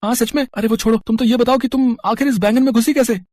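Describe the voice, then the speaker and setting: An actor voicing a poor, hungry young village man who startles easily in an animated moral story. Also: medium pitch, fast talker, no echo, close to an everyday voice. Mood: blissful. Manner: stammering when flustered.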